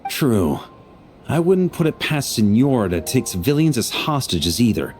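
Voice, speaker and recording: Guy voicing easygoing fantasy game knight boss, lowish and super animated, jovial and wry, music underneath. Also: moderate pace, close to an everyday voice. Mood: serious, steady. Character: easygoing male knight grandmaster, hearty, dependable drinker. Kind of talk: English-dubbed fantasy game dialogue.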